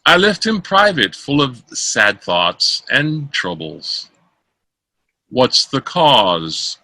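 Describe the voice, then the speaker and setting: Husky man declaiming Shakespeare, swooping pitch. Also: big pitch swings, drawn-out words, bright, forceful tone, no room sound. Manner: theatrical, declaiming. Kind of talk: amateur read-aloud of Shakespeare verse drama. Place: close dry room, online call.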